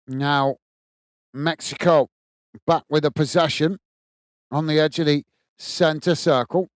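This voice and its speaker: A man's voice: on the high side, steady tone, slow pace, no room sound, studio quality. An English man hosting a live football watchalong, calling the play steadily and reading out chat comments. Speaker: watchalong host.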